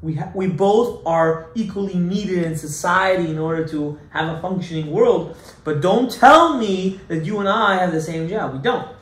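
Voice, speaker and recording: A man giving an animated Torah class as a rabbi, emphatic and full of rhetorical questions, mixing Hebrew terms into his English. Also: high-pitched, moderate pace. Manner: emphatic, rhetorical questions, acts out objections loudly. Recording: echoey room with faint background noise.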